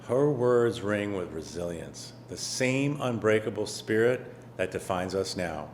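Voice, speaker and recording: A man giving a formal commemorative speech in a measured, rhetorical way, with repeated phrases. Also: lowish voice, expressive, slight background noise. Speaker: official speaker.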